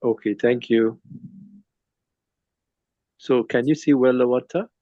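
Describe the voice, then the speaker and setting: A man in his fifties from Sri Lanka gives an informal online presentation with slides, speaking haltingly and rephrasing as he goes. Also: mid-range voice, steady tone, mellow, no room sound. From Sri Lanka, later Nigeria. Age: 50s. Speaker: speaker at a cultural talk. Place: online video call. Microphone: phone. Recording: dull lo-fi phone call audio.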